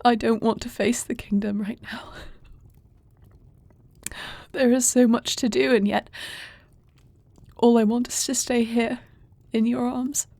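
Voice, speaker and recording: Fantasy audio drama role for a woman: grieving warrior princess, soon queen, devoted and formal; mellow, slightly gravelly, recorded close and dry. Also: fairly high pitch, steady tone, unhurried, pauses often, no room sound. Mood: weary, tender. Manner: formal, earnest archaic phrasing. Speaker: voice actor in an audio roleplay. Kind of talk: fantasy audio roleplay, intimate dramatic acting.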